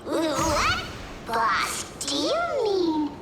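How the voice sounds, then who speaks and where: Tiny, squeaky animal sidekick. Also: female voice, huge pitch swings, drawn-out words, very noisy, heavily acted. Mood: bewildered. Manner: cheeky, boastful, theatrical. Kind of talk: video game character acting. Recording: distant, echoey, under loud music.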